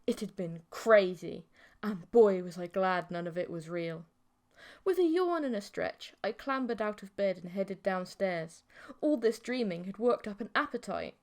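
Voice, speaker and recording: A woman's voice: mid-range voice, animated, measured pace, darkish tone. A woman narrating a scripted first-person audiobook story.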